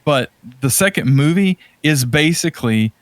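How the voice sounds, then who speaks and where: A man's voice: fairly high pitch, animated, normal speed, no echo. A man co-hosting a podcast, chatting casually and enthusiastically, rambling as he thinks aloud. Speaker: podcast co-host. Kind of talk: casual chat about video game movies.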